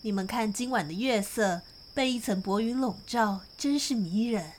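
A woman's voice: a little high, normal speed, a little mellow, slight background noise, natural voice. A woman playing a sociable middle-aged governess in a radio drama, speaking in a chatty manner. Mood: chatty, dreamy. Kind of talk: Mandarin radio drama acting.

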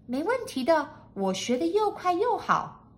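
A woman's voice: high-pitched, big pitch swings, measured pace, pitched above the performer's own voice. A woman voicing an eager, polite, plucky housekeeper in a storybook, with a chirpy manner. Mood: eager, confident. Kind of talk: storybook character acting.

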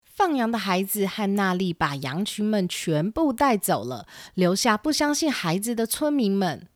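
A woman's voice: medium pitch, very animated, moderate pace, dry recording, clean studio sound. A woman narrating a scripted children's story as the storyteller. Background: classical music.

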